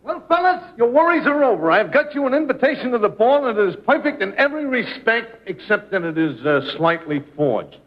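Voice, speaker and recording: An actor playing a brash, eager scheming pal in a 1950s radio comedy, on an old muffled broadcast. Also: male voice, very high-pitched, sing-song, brisk, hardly any pauses, a little hiss or hum. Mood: brash, confident.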